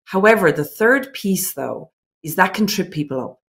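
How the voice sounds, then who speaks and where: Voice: mid-range voice, animated, normal speed, creaky, fairly bright tone. A speaker in a mid-range voice talks through a series of points in an interview. The tone is earnest and reflective, with frequent restarts as thoughts form. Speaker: business owner guest. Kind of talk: interview answers.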